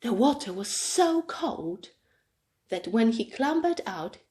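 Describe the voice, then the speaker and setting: Female storybook narrator: higher-pitched, very unhurried, dramatic and expressive for young listeners. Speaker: children's story reader.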